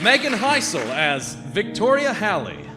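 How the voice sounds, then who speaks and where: A man's voice: sits high, very animated, unhurried. A man hosting live radio theater, announcing the show and cast in a theatrical style. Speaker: host and announcer. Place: library venue with audience. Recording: live stage, loud music and crowd.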